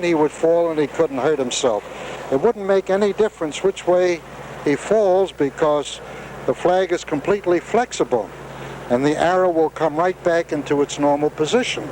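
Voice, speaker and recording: A man's voice: fairly high pitch, a little mellow, poor audio. A man explaining how his safety invention works, walking through it step by step in an earnest way. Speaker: inventor being interviewed. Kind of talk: explaining a gadget. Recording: 1970s TV field recording, light hiss.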